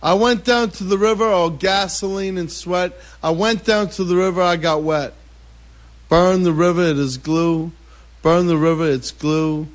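A man's voice: high voice, fairly even intonation, a little slow. A man performing spoken-word poetry with a chanting, repetitive cadence.

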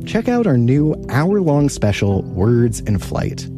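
A man hosting a short radio program, reading a scripted introduction in a calm, even delivery. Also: medium pitch, takes it slowly. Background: guitar music.

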